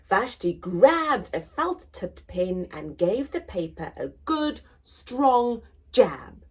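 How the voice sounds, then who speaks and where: A woman hosting a children's story time, reading aloud in an expressive, deliberate, sing-song way for small children. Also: mid-range voice, slightly rough. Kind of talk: animated read-aloud for young children.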